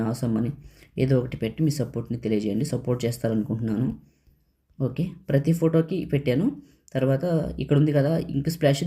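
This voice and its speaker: A woman narrates a how-to screen tutorial in a low, mellow voice. She moves quickly through the steps, giving short, practical instructions. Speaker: tutorial host.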